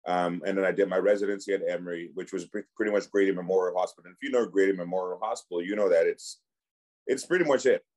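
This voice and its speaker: A man's voice: low-pitched, steady tone, a little mellow. A man from Cameroon in his forties, a physician and clinic founder, speaking as a guest in a casual podcast chat and often restarting his sentences. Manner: halting, many restarts and repeated words.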